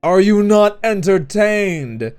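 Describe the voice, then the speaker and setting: A man hosting a casual stream chat, playful and quick to jump into high squeaky exclamations. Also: lively intonation, darkish tone, clear, resonant tone, faint music behind.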